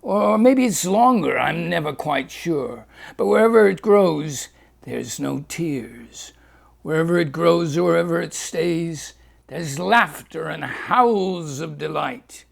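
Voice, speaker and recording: A whooping old doctor in a hat, as a man puts him on, rolls out his rhymes in a sing-song lilt. The voice is gravelly and slow, pitched a touch high. It sounds like a storybook read aloud. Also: animated, heavily acted. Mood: jovial.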